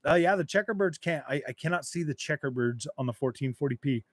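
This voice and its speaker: Gaming streamer guy, kinda high voice, rambly and laid back, dry close sound. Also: expressive, fast talker, dry recording. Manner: rambling, repeats and restarts phrases, laid-back. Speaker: gaming streamer reading live chat. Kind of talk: casual live-stream chat.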